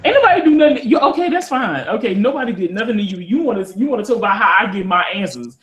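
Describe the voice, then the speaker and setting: A woman speaking as a guest on a casual online call, defensive and quick with comebacks. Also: mid-range voice, expressive, low-quality recording. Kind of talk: casual chat. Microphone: online call audio.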